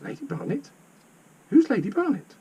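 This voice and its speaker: Storybook young girl, played by a man: wide-eyed, astonished, high and squeaky, very animated. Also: normal speed, slightly rough, muffled recording, full character voice. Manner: astonished, questioning. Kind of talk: children's story reading.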